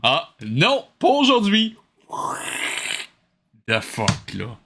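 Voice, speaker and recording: Sarcastic Québécois man, very lively delivery. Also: fairly high pitch, drawn-out words, creaky, breathy edge. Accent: Quebec French. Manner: sarcastic, exasperated, informal with swearing. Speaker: commentator mocking an old cartoon. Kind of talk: casual reaction commentary. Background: cartoon soundtrack music.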